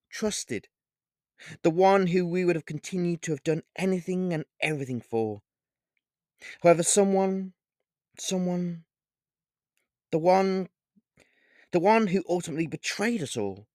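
A man's voice: sits high, animated, measured pace, pauses often, darkish tone, no echo, studio quality, voice pushed up. Vanguard, a man doing a smug vampire king. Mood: menacing. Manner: grandiose, theatrical, savoring each word.

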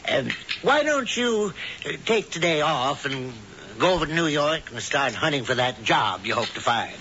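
An actor playing a fussy, falsely kindly older warlock who leads a coven, in a theatrical, coaxing manner for an old-time radio drama. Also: male voice, fairly high pitch, big pitch swings, normal speed, pushed and bright. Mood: flustered. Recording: dull, noisy old radio recording.

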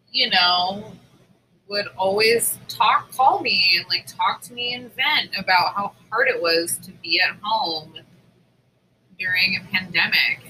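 A woman's voice: medium pitch, sharp tone, slight background noise, low-quality recording. A woman chatting casually as a podcast co-host, venting in a candid, informal way with some swearing.

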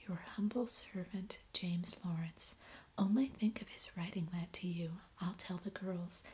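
A woman's voice: fairly low pitch, measured pace, a little mellow, natural voice. Prim little sister Amy, whispered by a woman. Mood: impressed. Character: young girl, prim and impressionable.

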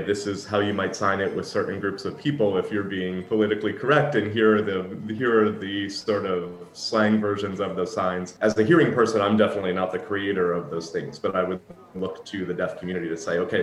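A man's voice: deep voice, steady tone, fairly quick. A man who works as a sign language interpreter, answering interview questions in a thoughtful, reflective way with frequent restarts. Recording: echoey room.

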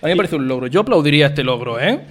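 A man's voice: on the high side, lively intonation, very fast. A man from Spain co-hosting a podcast, reading listener messages aloud and teasing his co-host with jokes and emphatic repetition.

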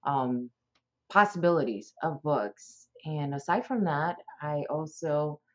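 Low-voiced woman in her forties, chatty. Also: expressive, slow. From South Florida. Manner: warm, rambling and enthusiastic, with many fillers and restarts. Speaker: teacher and podcast guest. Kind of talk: casual interview chat. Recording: dull, lo-fi podcast audio.

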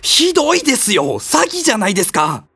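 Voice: mid-range voice, wildly swooping pitch, brisk, vocal fry, fairly bright tone, husky, exaggerated voice. A performer playing a whiny, panicky young male hero in an audio comedy, polite but full of exclamations. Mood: whiny protest. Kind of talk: comedic audio drama acting.

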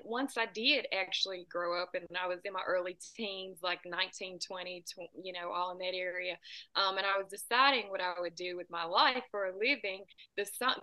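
Bright Southern woman, earnest storyteller. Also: medium pitch, poor audio. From Southern US, about two hours south of Nashville. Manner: warm storytelling, earnest and inspirational. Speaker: guest, singer-songwriter and children's author. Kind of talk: interview answers.

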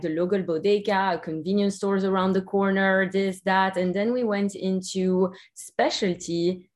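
A woman from Belgium with a Belgian accent, a company co-founder chatting as a podcast guest in an upbeat, chatty way. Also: mid-range voice. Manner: upbeat, chatty, laughs easily. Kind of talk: podcast interview.

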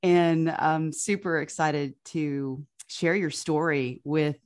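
A woman's voice: deep voice, fairly even intonation, takes it slowly, a little mellow. Gushing, enthusiastic woman podcast host. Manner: effusive, enthusiastic. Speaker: podcast host and fitness coach. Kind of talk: upbeat podcast hosting.

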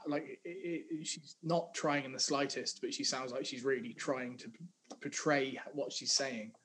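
A man's voice: mid-range voice, fairly bright tone, low-quality recording. A young man with a mid-range voice picks his words carefully as he talks about a song, often restarting his sentences. A faint hiss sits under the recording. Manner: thoughtful, agreeable, hesitant restarts. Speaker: podcast co-host. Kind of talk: casual music review chat.

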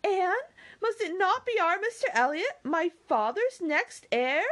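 A woman voicing an excitable, self-important young gentlewoman who gushes in exclamations, in a novel reading. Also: very high-pitched, measured pace, voice pushed up, full character voice. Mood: excited, astonished. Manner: gushing, exclamatory.

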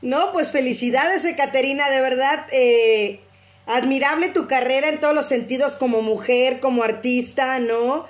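Mujer con acento mexicano, anfitriona, voz alta, felicita y anima. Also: female voice, high-pitched, normal speed. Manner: warmly encouraging, congratulating. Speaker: show host. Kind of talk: interview conversation.

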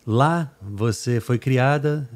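A man's voice: medium pitch, takes it slowly. A man interviewing a guest in a chatty, rambling way, often checking in with tag questions. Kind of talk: interview conversation.

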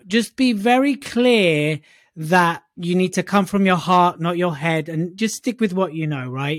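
Upbeat high-voiced male show host. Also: no echo, clean studio sound. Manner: upbeat, affectionate, quick summaries. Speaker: podcast co-host. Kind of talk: motivational talk show hosting.

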